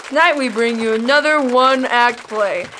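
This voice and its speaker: Lady playing radio announcer guy, teasing sidekick, kinda high voice, crowd and a bit of noise behind. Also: normal speed, bright, forceful tone. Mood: presentational. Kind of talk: old-time radio comedy acting.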